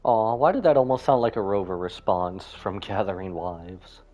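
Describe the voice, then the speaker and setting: A man streaming a game, giving expressive, slangy and casual live reactions. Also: deep voice, moderate pace, dull audio.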